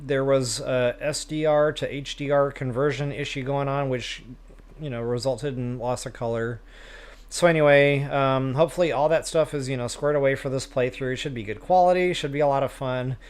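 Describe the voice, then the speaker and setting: A man's voice: mid-range voice, smooth. A man hosting a game livestream, chatting casually with viewers in a self-deprecating way. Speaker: live stream host, gamer.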